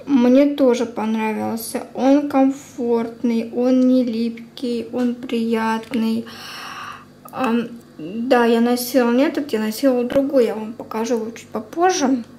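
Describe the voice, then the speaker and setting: A young woman chatting casually through a product review as a beauty vlogger, informal and unhurried, thinking aloud. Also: on the high side, fairly even intonation, dark tone. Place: indoors at a table at home. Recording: clear background room noise.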